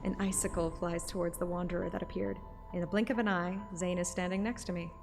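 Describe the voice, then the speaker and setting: A woman's voice: lowish voice, fairly even intonation, quick pace, vocal fry, music in the background. A woman narrating a story like an audiobook, with casual streamer asides. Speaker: streamer reading a game story aloud.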